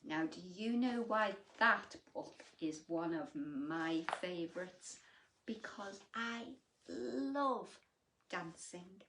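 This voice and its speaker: A woman reading a bedtime story to young children in an expressive, exaggerated way, with hushed asides to her listeners. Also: on the low side, slow pace, noisy background.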